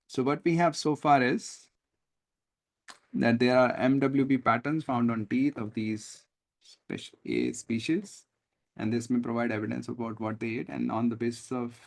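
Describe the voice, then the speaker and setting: Indian male test-prep teacher, mid-pitched with gravelly fry, emphatic and deliberate, explaining live to students. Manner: deliberate, emphatic word-by-word stress, rhetorical questions. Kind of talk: live online lesson, explaining reading comprehension.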